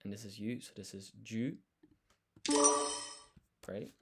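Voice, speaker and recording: A man's voice: on the low side, steady tone, slow, heavy background noise. A man livestreaming casually as he studies, thinking aloud and sounding out words syllable by syllable. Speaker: live streamer studying Japanese. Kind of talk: casual live study chat.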